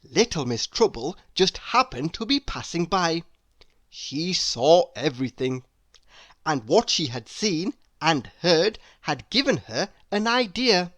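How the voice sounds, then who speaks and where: A woman reading a children's storybook aloud in an expressive narrating style. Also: sits low, dry recording, clean studio sound.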